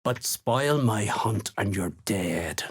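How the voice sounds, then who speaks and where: A menacing slaver from a fantasy game, speaks slowly in a low, husky voice. He is curt and full of contempt, every line edged with threat. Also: expressive, exaggerated voice. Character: gruff, menacing slaver serving a dark cult. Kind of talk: video game character dialogue.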